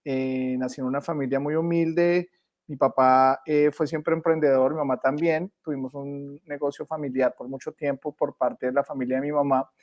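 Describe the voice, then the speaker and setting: A man in his late thirties from Bogotá, Colombia, telling his story in an interview at an unhurried pace, pausing often on "eh". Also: mid-range voice, fairly even intonation, darkish tone. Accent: Bogotá Colombian Spanish. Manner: unhurried, reflective, with frequent "eh" fillers. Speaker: guest.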